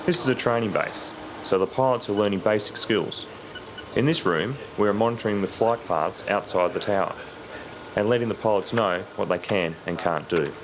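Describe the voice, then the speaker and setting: An Australian man working as an air traffic controller, explaining his job in a measured, matter-of-fact way. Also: lowish voice. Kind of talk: career profile narration with radio phraseology.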